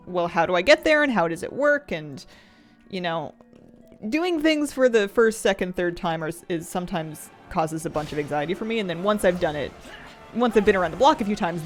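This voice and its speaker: A woman in her thirties chatting casually while she plays a game, rambling and halting with restarts and soft laughs. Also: lowish voice. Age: 30s. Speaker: streamer. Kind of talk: casual chat while gaming.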